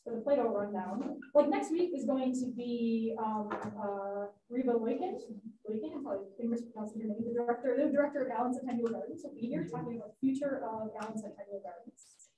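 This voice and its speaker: A woman hosts a public science evening, giving the announcements in a loose, informal manner with plenty of fillers. Her voice sits somewhat high and warm. It is picked up from a distance in an echoey room, with a light hiss behind it. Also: brisk. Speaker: event host. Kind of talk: announcements and speaker introduction. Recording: roomy hall, distant mic, light noise.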